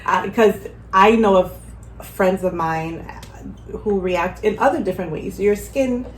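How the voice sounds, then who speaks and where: A woman hosting a casual chat, lively and joking, with slangy asides. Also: mid-range voice, a little slow. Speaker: host. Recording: echoey room, faint ambient noise.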